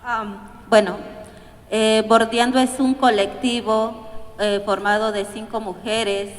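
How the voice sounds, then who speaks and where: A woman from Mexico with a Mexican Spanish accent, speaking haltingly and nervously at a community panel, with many pauses and fillers. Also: a little high, flat delivery. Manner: hesitant, nervous, pausing with fillers. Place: museum event hall. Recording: echoey hall with long reverb.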